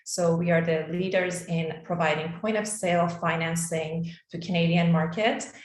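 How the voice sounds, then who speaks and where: Woman guest on a panel, kinda low voice, echoey room, informal. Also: steady tone, normal speed. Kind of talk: informal self-introduction on a panel. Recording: roomy, echoey call audio.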